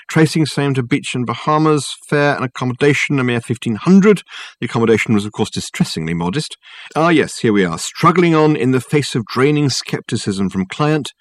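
A man's voice: medium pitch, no echo, voice pushed up, lightly acted. A man voicing a grandiloquent, unflappable male detective who talks soothingly and floridly. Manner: florid, soothing, mock-sorrowful.

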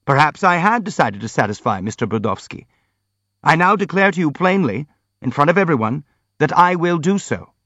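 A man's voice: on the high side, very animated, bright, forceful tone, dry recording, muffled recording, pitched above the performer's own voice, heavily acted. A man voicing an earnest, polite prince in an audiobook, frank and anxious to placate. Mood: insistent. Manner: earnest, hurried, polite pleading. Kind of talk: audiobook character acting.

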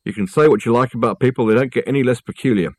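A man voicing a large, unflappable college porter in an audiobook, deadpan and politely formal. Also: medium pitch, racing, dry recording, natural voice. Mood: dry, unimpressed.

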